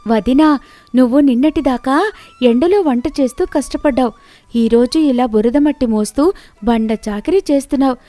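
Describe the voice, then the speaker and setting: A practical young village girl, voiced by a woman narrator, admiring and a little cautious. She talks in a high, eager voice in a storybook tale. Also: moderate pace, mellow, dry recording, soft background music, natural voice. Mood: admiring, concerned.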